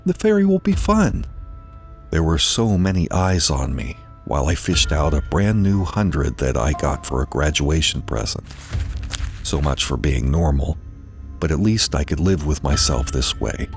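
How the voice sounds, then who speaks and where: A man's voice: a bass voice, lively intonation, moderate pace. A man narrating a dramatized first-person horror story, with music behind him.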